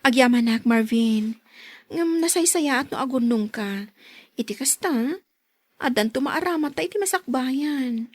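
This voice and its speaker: A woman's voice: high-pitched, animated, a little slow, dry recording. A woman acting an affectionate, thrifty young wife who is easily surprised, in an Ilocano-accented radio drama. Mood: gentle, chiding.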